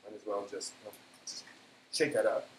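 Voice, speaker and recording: Man thinking aloud in an echoey room. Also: fairly low pitch. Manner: halting, self-talking, trailing off. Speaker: host of a cooking live stream. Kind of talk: casual cooking chat, thinking aloud. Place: indoor kitchen. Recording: echoey room, background noise.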